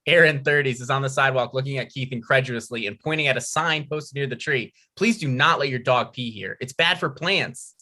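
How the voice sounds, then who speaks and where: A man's voice: mid-range voice, a little fast, few pauses, sharp tone. A man reading out scripted stage directions as narrator at a comedy table read.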